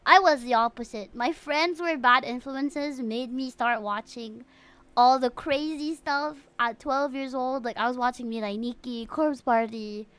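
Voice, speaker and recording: Young woman chatting casually, higher voice. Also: unhurried, fairly bright tone, clear, resonant tone, no room sound. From Philippines. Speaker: livestreamer playing a video game. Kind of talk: casual livestream chat.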